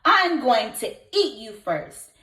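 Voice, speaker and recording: In an echoey room, a woman plays a greedy storybook troll guarding his bridge. He is a gruff bully, blustering and bellowing with big swings in pitch. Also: sing-song, slow pace, pushed and bright, full character voice. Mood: menacing.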